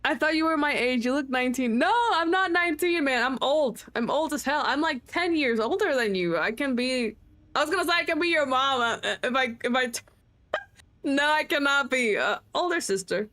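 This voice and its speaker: Chatty young woman streamer, slightly high voice. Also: animated, normal speed, fairly bright tone. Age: late 20s. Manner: chatty, self-mocking, swearing freely. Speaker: game streamer. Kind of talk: casual livestream chat.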